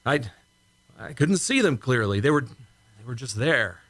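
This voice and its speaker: A man acting in an old-time radio drama as a shaken, insistent rocket test pilot, speaking urgently and haltingly. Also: medium pitch, very animated, fairly bright tone, no echo. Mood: uneasy, defensive.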